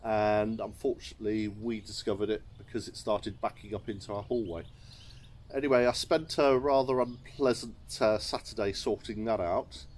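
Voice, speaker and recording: A man's voice: fairly low pitch, fairly even intonation, moderate pace, darkish tone. A middle-aged English man, a chateau owner, telling a wry, understated story to camera while seated in a sunny garden courtyard. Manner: wry, understated, chuckling at his own mishaps.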